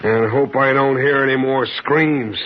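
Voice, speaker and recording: A man's voice: mid-range voice, fairly even intonation, a little slow, lightly acted. An actor playing a chatty, superstitious coal delivery man in a 1950s radio drama. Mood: chatty, uneasy. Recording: muffled, very noisy old radio recording.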